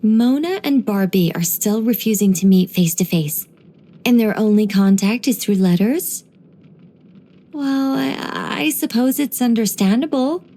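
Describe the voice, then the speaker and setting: A woman voicing a gentle, wise young angelic woman in game voice lines, soft and wryly musing. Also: fairly high pitch, a little slow.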